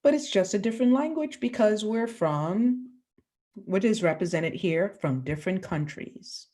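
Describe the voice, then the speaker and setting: Slow-spoken Bahamian-born woman teacher. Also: mid-range voice, animated, mellow. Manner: slow, questioning, pauses for class answers. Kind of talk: classroom lesson to children.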